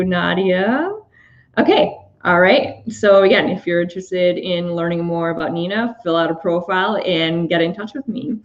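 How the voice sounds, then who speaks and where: A French-Canadian woman hosts a dating livestream, her voice sitting low and effusive with praise. Her video call audio is dull and lo-fi. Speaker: host of a dating show. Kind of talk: casual livestream chat.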